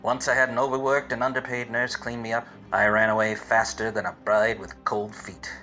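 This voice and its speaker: A male actor as a hard-boiled private eye from a 1940s radio parody. He is wry, wordy and a bit dim, with a sharp, bright edge to his medium-pitched voice. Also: normal speed, close to an everyday voice, low-quality recording. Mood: wry narration. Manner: wry, wordy, deadpan wisecracks.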